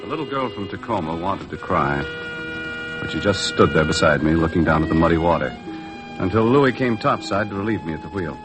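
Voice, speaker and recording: An actor playing a laconic boat skipper with a dry, understated American delivery, in 1950s radio drama style. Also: male voice, sits low, lively intonation, fairly quick, music in the background. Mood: wistful narration. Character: laconic boat skipper narrating his own adventure. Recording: noisy vintage 1950s radio recording.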